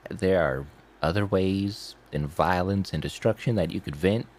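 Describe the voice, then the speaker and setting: A man playing a tabletop role-playing game, chatting in character in a halting way. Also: sits low, a little mellow.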